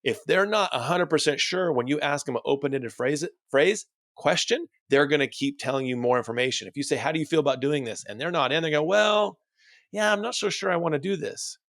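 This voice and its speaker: A man's voice: fairly high pitch, animated, fairly quick, a bit bright, dry recording. Man coaching in a dry, close sound. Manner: instructional, emphatic, rhetorical questions. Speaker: dental practice coach and host. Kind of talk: motivational coaching talk to camera or listeners.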